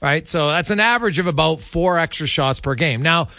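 A male sports radio host with a fairly high, bright and forceful voice. He interviews conversationally, breaking up his sentences as he works through numbers. The sound is close and dry. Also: brisk, dry recording.

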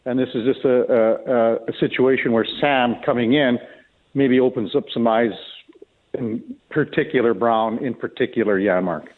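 A man's voice comes through a narrow, phone-like connection, sitting at an ordinary middle pitch. He talks sports casually as a guest commentator, offering folksy opinions in a conversational way. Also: moderate pace. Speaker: hockey commentator, guest on a sports radio show. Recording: tinny narrow phone-like line.

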